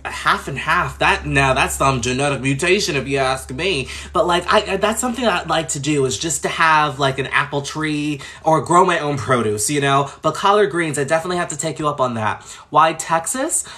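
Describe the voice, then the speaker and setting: A man with a fairly high, bright and forceful voice hosts a casual livestream. He is from Long Island, New York, and talks in a chatty, gushing way. He moves between reading comments and answering them with plenty of expression. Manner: fast talker. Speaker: livestream host.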